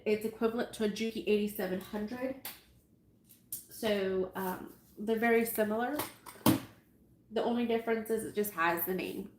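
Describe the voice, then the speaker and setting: A woman with a stuffy, nasal voice hosting a casual live sewing tutorial, chatty and self-amused as she greets viewers. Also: fairly high pitch. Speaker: sewing livestream host. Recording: echoey room with faint noise.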